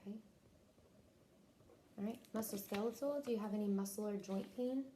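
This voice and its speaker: A woman with a very mellow, soft-edged voice conducts a health interview, moving briskly from one short question to the next. Her pitch is average for a woman. There is faint room noise behind her. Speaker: interviewer taking a health history. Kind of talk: clinical question-and-answer interview.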